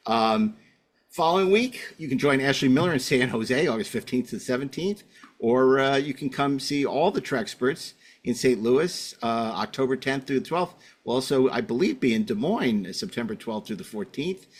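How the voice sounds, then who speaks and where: A man's voice: mid-range voice, expressive, moderate pace. A man hosting a podcast in a casual, rambling way, often correcting himself as he goes. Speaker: podcast host.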